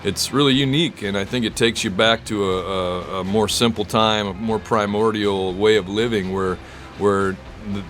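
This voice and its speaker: A man's voice: lowish voice, a bit bright. A man in his forties from the US Midwest, a surf teacher, speaking in a thoughtful, philosophical way. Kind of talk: reflective interview talk.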